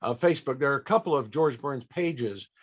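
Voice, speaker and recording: An older man hosting an interview, chatty and a bit halting, with frequent chuckles. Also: mid-range voice, lively intonation, breathy edge. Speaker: panel host.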